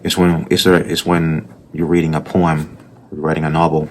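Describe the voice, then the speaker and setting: A man's voice: extremely low pitch, little pitch movement, moderate pace, slight background noise. A man from Puerto Rico with a strong Puerto Rican accent, telling rambling stories with restarts as he talks through his sketchbook art in a casual voice-over. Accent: Puerto Rican Spanish. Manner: rambling storytelling with restarts and asides. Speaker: artist narrating his sketchbook process.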